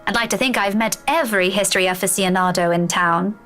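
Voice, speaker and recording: A woman's voice: mid-range voice, fast talker, fairly bright tone, natural voice. A woman playing a friendly, teasing young schoolteacher in a visual novel, with a British accent and rolled Scottish R's. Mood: friendly, curious. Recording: music behind game dialogue.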